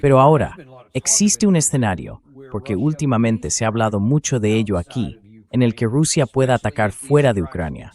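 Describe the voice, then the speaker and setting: A man's voice: on the low side, darkish tone. A man hosting a political discussion in a synthetic voice-over, talking in a conversational, explanatory way. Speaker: interview host.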